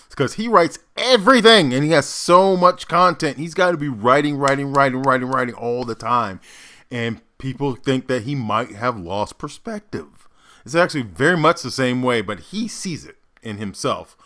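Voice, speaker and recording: A man hosting a reaction video, musing aloud in a halting, casual way with fillers. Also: mid-range voice, expressive, sharp tone.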